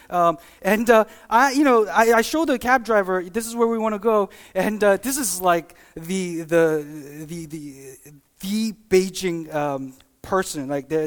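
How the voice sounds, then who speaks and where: Informal, halting preacher giving a talk. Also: medium pitch. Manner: halting and informal, often checking in with "right?" and "you know". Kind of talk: conversational sermon with personal anecdotes and acted-out examples.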